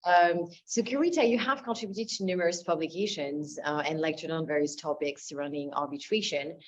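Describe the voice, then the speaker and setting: A woman's voice: on the low side, fairly quick. A woman hosting an interview, putting questions to a guest. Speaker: interviewer, host. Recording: dull lo-fi sound.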